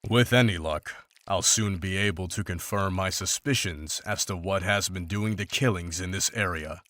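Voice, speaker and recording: An actor playing a grim, dry-witted monster hunter in a fantasy visual novel, speaking in a measured, formal, deadpan way. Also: male voice, low-pitched, no room sound. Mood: dry, grave.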